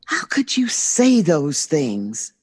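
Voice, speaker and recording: A woman's voice: low-pitched, huge pitch swings, slow pace, husky, full character voice. An American actress playing a hurt, loving middle-aged mother in an audio drama, emphatic and emotionally open. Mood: hurt, curt.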